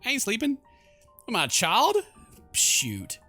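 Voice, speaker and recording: A man's voice: sits high, huge pitch swings, unhurried, bright, forceful tone, faint music behind. A man hosting a let's play, reading game text aloud in a playful mock-dramatic way with jokey asides. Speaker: gaming video host.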